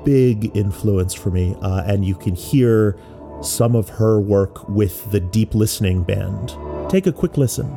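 Male, lowish voice, thoughtful and measured musician explaining his process, music bed behind him. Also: vocal fry, mellow. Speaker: musician and composer. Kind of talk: explanatory talk about making experimental music.

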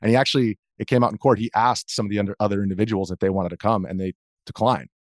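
American male interview guest, thirties. Lowish pitch, dry sound, measured and earnest, reasons aloud. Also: steady tone, no room sound. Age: 30s. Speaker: interview guest, video journalist. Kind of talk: reflective interview account.

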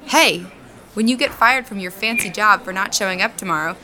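A woman's voice: mid-range voice, a little fast, noisy background, natural voice. A woman acting in a naturalistic audio drama as a confident, dryly teasing, blunt farm owner. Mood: teasing, relaxed.

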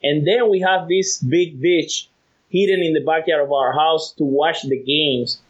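A man from Cuba speaks English with a Cuban accent, cheerful and quick to laugh as he tells his story. His voice sits a little high. The sound is dull and lo-fi, like a remote line. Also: steady tone. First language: Spanish. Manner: cheerful, laughs easily, rambling. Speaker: podcast guest. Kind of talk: casual phone interview.

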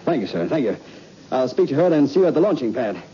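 Man playing an earnest, animated spaceman. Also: mid-range voice, fast, natural voice. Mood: thrilled. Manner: hesitant, wondering, sincere. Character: earnest, eager male test pilot, devoted husband. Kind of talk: old-time radio suspense acting. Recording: muffled, noisy old radio recording.

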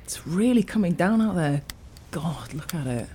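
A woman's voice: fairly low pitch, animated, normal speed, dark tone, husky. A woman playing a dismayed holiday guest who grumbles to herself with dry sarcasm in a naturalistic audio drama.

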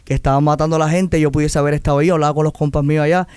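A man's voice: on the high side, rapid-fire, smooth, no room sound, studio quality. A young man from Cuba with a Cuban Spanish accent, telling stories animatedly as a guest in a casual interview, often acting out other people's voices. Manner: animated, acts out quoted voices, restarts often. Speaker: guest artist.

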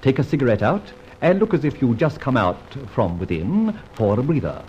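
An Australian actor playing a suave, playful gentleman thief in a 1950s radio drama, brisk and theatrical. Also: medium pitch, sing-song, close to an everyday voice. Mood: scheming, playful. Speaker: lead character. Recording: dull old radio recording, faint hiss.

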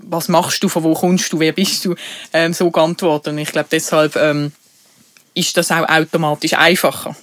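A woman's voice: low-pitched, flat delivery, moderate pace, no room sound. A woman from Switzerland with a Basel Swiss German accent, co-hosting a podcast in casual conversation, thoughtful and hesitant, laughing easily. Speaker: podcast co-host.

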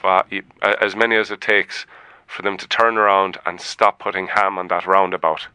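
A man playing an odd caller who gives absurd advice in a flat, matter-of-fact way. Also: deep voice, moderate pace, clear, resonant tone, no room sound, rough recording. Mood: deadpan.

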